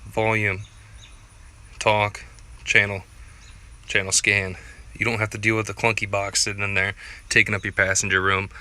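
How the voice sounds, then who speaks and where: A man with a very bright, forward voice walks through a DIY tutorial in a plainspoken, casual way. His tone stays flat and even throughout. There is some background noise on the handheld recording. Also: fairly low pitch, normal speed, long pauses. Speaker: vlogger presenting a DIY gear tutorial. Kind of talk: casual unscripted how-to walkthrough.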